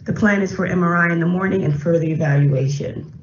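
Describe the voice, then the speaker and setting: A woman's voice: fairly low pitch, fast. A woman giving a short spoken patient handoff as a nurse. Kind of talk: spoken handoff note, played back in a demo. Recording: muffled, roomy, noisy playback.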